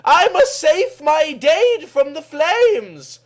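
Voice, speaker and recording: Man voicing a high-pitched, defiant young warrior girl. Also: very animated, takes it slowly, heavily acted. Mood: alarmed, urgent.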